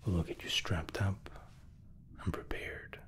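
A man in his thirties whispering softly and courteously as a flight attendant in an ASMR roleplay, close to the microphone. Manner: soft, slow, courteous attentive steward.

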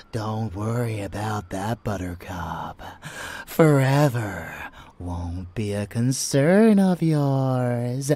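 A man acting as an unhinged, seductive male vampire lover in an intimate ASMR audio roleplay, breathy and often whispering, with teasing, menacing affection. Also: lowish voice, a little mellow, no room sound, exaggerated voice. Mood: tender, anticipating.

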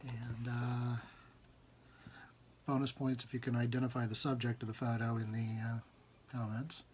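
A man in his forties with a husky voice reads his own fiction aloud informally and expressively, with emphatic rhythm and dramatic pauses, at a desk in a room at home. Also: mid-range voice, flat delivery, a little mellow, slight background noise. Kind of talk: expressive literary reading, informal. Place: small book-lined room at home, indoors.

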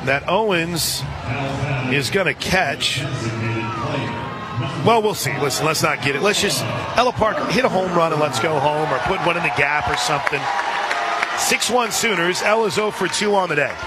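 A man doing live radio play-by-play of a softball game in a conversational way, with folksy asides. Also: fairly high pitch, lively intonation, normal speed, long pauses. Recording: crowd noise and music behind. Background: ballpark crowd and music.

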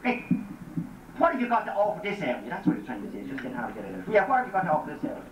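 A man's voice: sits high, wildly swooping pitch, racing. A man playing a rambling, stammering chairman. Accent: Irish. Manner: rambling, stammering, laughing through lines. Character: blustering committee chairman at an interview. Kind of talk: amateur pub comedy sketch. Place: crowded pub. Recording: muffled, echoey, from far off with loud crowd and noise.

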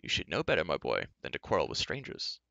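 A man voicing a stout, good-natured older fellow in a storybook, gently chiding. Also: rumbling low, wildly swooping pitch, quick pace, vocal fry, no room sound, dull audio, voice pushed down, exaggerated voice.

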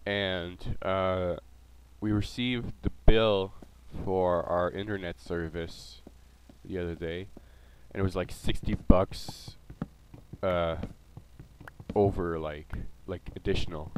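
Low nasal man with a cold. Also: steady tone, takes it slowly, slight background noise, low-quality recording. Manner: rambling, many ums and uhs. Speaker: gameplay video host. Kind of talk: casual gameplay commentary.

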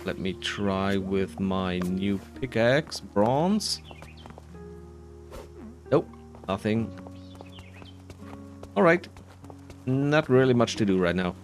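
A man's voice: on the low side, expressive, slow pace, pauses often, music in the background. A man giving casual commentary over a video game playthrough. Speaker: host of an edited game playthrough.

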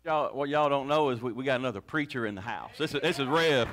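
A man's voice: mid-range voice, quick pace, a bit bright, slight background noise. A man, a pastor exhorting his congregation with fervent restarts, on a handheld mic in a small church. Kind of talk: church service testimony lead-in. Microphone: handheld mic, far camera.

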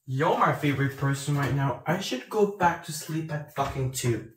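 A man's voice: a little high, normal speed, a little hiss or hum. A teenage boy, chatting casually on a phone livestream in a small room at night, answering viewers' questions. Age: teens. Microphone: phone at arm's length.

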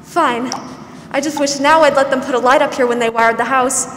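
A young woman playing a warm, pleasant, fussing woman of sixty in a 1940s-style radio drama on stage. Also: high voice, steady tone, moderate pace. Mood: warm, practical. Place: large theatre stage, indoors. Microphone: stand microphone, masked. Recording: echoey stage hall, faint noise.

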